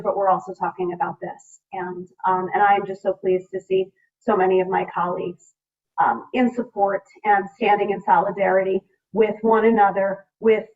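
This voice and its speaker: An American woman legislator speaking earnestly and emphatically on a panel over a video call. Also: mid-range voice. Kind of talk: public panel remarks, speaking from personal conviction. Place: remote video meeting. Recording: distant, muffled video call audio.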